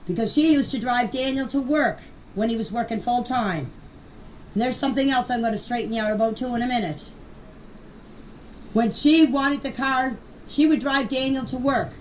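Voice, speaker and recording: An older woman in her sixties or beyond speaking plainly and bluntly in an unscripted personal voice message, with an emphatic manner. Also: high-pitched. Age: 60s or older. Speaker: grandmother giving her personal account. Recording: distant, noisy amateur voice clip.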